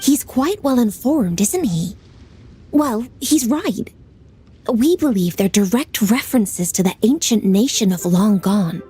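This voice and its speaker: A woman's voice: medium pitch, lively intonation, measured pace, warm tone, soft background music, close to an everyday voice. A woman voicing a diligent young woman official in a video game, composed and formal. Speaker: government official.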